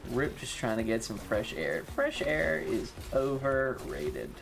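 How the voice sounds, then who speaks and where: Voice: mid-range voice, very animated, slow pace, vocal fry. A streamer with an androgynous voice talks unhurriedly while playing a game live. The delivery is casual and sweary, with self-mocking slang. Faint music plays behind the voice.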